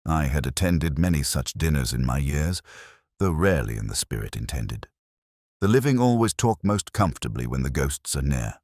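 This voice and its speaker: Man voicing a world-weary older inspector, extremely low pitch, lively intonation, dry close sound, measured wry literary narration, detective audio drama. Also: no room sound, heavily acted. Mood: wry, reflective. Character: brooding, world-weary older male English police inspector, reflective and melancholy. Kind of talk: scripted first-person literary narration.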